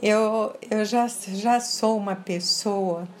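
A woman from France speaks slowly and deliberately, with a slight French accent. She sets off single words and checks in with the listener as she explains. Faint noise sits under the recording. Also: mid-range voice, fairly even intonation. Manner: measured, stresses single words, often ends with "tá?". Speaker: interview guest. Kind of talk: casual interview conversation.

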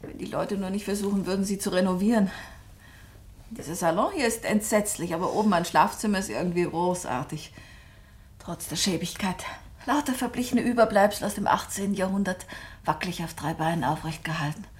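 A woman's voice: medium pitch, brisk, close to an everyday voice. An actress playing a composed, direct woman novelist in a German radio drama, articulate and dryly matter-of-fact. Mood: dryly conversational.

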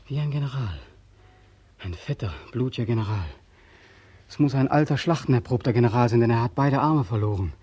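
An actor playing a weary, haunted ex-soldier in a 1940s radio drama, pleading and obsessively repeating himself. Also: male voice, mid-range voice, mellow, husky, no room sound. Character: weary, haunted ex-soldier back from war, insistent.

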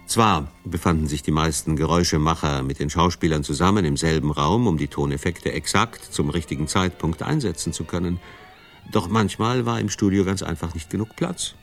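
Deep male narrator, radio documentary script read, studio sound, bit of music behind. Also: fairly quick.

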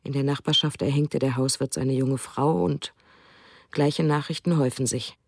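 A woman's voice: very deep voice, fairly even intonation, moderate pace, no echo, studio quality. A woman reading a reflective first-person narration for a radio drama. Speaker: reader in a radio documentary drama. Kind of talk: scripted narration.

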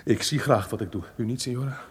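An actor playing a cowardly, superstitious manservant in an old radio drama, fretful, excitable and comic. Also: male voice, medium pitch, fast talker, close to an everyday voice. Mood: nervous grumbling. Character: cowardly superstitious manservant, jittery, grumbling, invoking saints. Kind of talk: old radio play acting. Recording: faintly noisy old radio recording.

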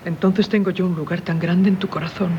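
An actress playing a passionate, yearning wife in a 1970s radio drama, fervent and theatrical, with a Castilian Spanish accent. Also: female voice, lowish voice, steady tone, a little fast, natural voice. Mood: astonished. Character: passionate, yearning doctor's wife, torn then resolute. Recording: muffled, slightly noisy 1970s radio recording.

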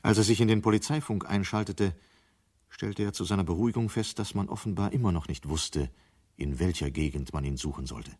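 A man with a very low voice narrates a vintage German radio play. He tells the story in measured, sober, scripted sentences. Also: a little mellow, breathy edge.

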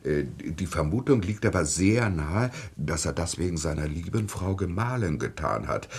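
Low husky gentleman schemer, fussy, apologetic, wordy, comedy radio play. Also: lively intonation, moderate pace, full character voice. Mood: hesitant, explaining. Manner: hesitant, florid and formal. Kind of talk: satirical radio play acting.

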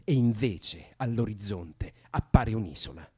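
Coaxing young storyteller, lively and husky. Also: male voice, on the low side, measured pace. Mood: absorbed storytelling. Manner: coaxing, colloquial, imaginative. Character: young man soothing a distressed woman with invented stories. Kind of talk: Italian radio drama acting.

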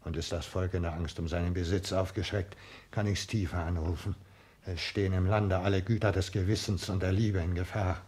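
An earnest, idealistic doctor-secretary in a 1960s radio play. His voice is very low and husky. He declaims solemnly in formal, measured language. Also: level tone, darkish tone. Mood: grave indignation.

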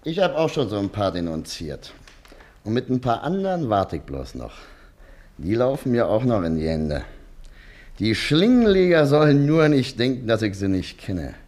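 An actor playing a self-important, threatening snoop and informer in a German radio play. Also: male voice, medium pitch, very animated, normal speed, darkish tone, natural voice. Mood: boastful. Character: pompous, snooping, threatening male braggart and informer.

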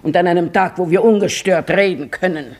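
A woman's voice: lowish voice, brisk, darkish tone, close to an everyday voice. A woman playing a proud, chatty middle-aged Parisian cook in a 1950s radio play, boastful and motherly. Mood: coaxing. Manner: boastful, theatrical, motherly, flirtatious. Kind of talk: 1950s radio drama acting.

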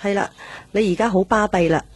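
A woman acts out a middle-aged Cantonese mother from a Hong Kong radio play. Her voice is husky and mid-pitched, and she fusses and nags with constant worry. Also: a little fast, dry recording, close to an everyday voice. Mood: nagging concern. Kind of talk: radio drama acting.

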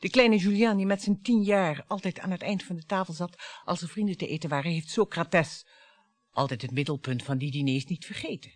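A woman's voice: mid-range voice, no room sound, close to an everyday voice. A woman in a Dutch radio play acting a bitter, sarcastic wife who needles her husband in a theatrical, storytelling way.

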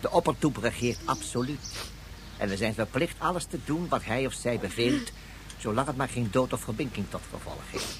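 A man's voice: mid-range voice, moderate pace, noisy background, natural voice. A man playing a pedantic, obedient court official in a science fiction radio play, speaking formally and ceremoniously. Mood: solemn. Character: pedantic, obedient male court official, explaining strict protocol.

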